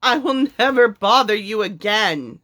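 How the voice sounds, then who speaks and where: A woman voicing a greedy, bullying male lord in a storybook, loud and demanding. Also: medium pitch, expressive, a little slow, pitched above the performer's own voice. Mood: panicked. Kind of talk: storybook read-aloud character voice.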